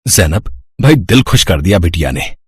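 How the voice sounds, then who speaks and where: Man acting deep, husky, earnest village father. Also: big pitch swings, normal speed, full character voice. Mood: proud, tender. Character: humble, pious, honest village father, tender and earnest. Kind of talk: animated Hindi moral story acting.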